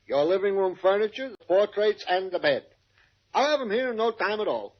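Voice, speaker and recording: High-voiced, servile jailer. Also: male voice, expressive. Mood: eager, servile. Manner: obsequious, brisk. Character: eager, servile male jailer catering to wealthy prisoners. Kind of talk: 1940s-50s comic radio acting. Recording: dull vintage radio recording.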